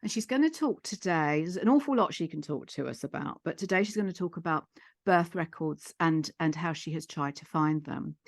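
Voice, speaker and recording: Low-pitched middle-aged woman, measured interviewer. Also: dry recording, clean studio sound. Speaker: podcast host and psychotherapist. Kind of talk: gentle interview.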